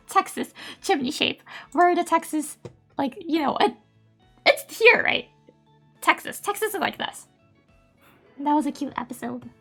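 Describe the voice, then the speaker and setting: High, bright-voiced woman streamer, Japanese native. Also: big pitch swings, slow pace, pauses often, soft background music. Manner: chatty, giggly, many fillers. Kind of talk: casual live-stream chat.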